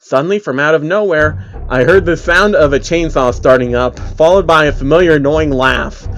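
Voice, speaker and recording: A man's voice: fairly high pitch, normal speed, a bit bright, a little hiss or hum. A man narrating as host of a dramatic creepypasta reading, telling a first-person story to listeners. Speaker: narrator, channel host reading fan stories.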